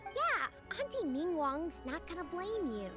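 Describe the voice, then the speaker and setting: A woman's voice: very high-pitched, very animated, unhurried, full character voice. A woman voicing a perky, inquisitive, blunt young child in a video game. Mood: eager. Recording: background music under the voice.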